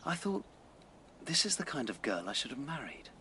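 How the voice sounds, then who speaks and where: An actor playing a proud, melancholy, impoverished Victorian writer in a radio drama, with an earnest, literary English delivery. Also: male voice, medium pitch, very animated, moderate pace, bright, forceful tone, a little hiss or hum. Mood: wistful, brooding. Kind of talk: BBC radio drama acting.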